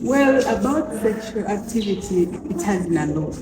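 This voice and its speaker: A woman's voice: medium pitch, animated, normal speed. A woman with a Ugandan accent explaining things conversationally as a counselor guest, in short, broken phrases. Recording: echoey room with background noise.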